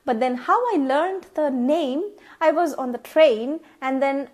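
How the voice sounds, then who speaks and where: Woman, Indian English accent, Tamil native speaker, young adult, high-pitched voice, chatty, repeats herself, vlog tips. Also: a little slow, darkish tone. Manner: chatty, repeats words, acts out pronunciations. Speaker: vlogger.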